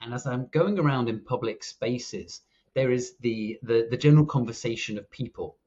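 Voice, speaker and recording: A man's voice: medium pitch, moderate pace, warm tone. A British man hosting a podcast interview, speaking casually and hesitantly, with frequent restarts. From Britain. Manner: hesitant, many restarts and fillers. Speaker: podcast host. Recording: slightly dull, muffled audio.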